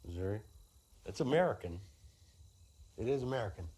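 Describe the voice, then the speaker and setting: An actor playing a menacing soldier who interrogates captives in a cold, taunting manner, throwing their answers back at them. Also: male voice, lowish voice, expressive, fairly quick, warm tone, breathy edge.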